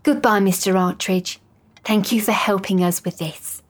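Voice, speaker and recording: A man voicing a genteel, sweetly patronising woman with a gentle, placating manner. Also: high-pitched, steady tone, moderate pace, pitched above the performer's own voice, close to an everyday voice.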